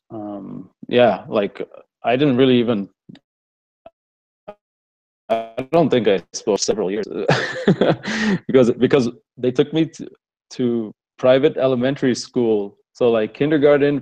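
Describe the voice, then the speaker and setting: Laid-back man with an Armenian-American accent. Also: medium pitch. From Los Angeles, USA. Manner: relaxed, rambling, laughs often. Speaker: fitness coach answering questions. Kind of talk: casual live Q&A. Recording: muffled lo-fi stream audio.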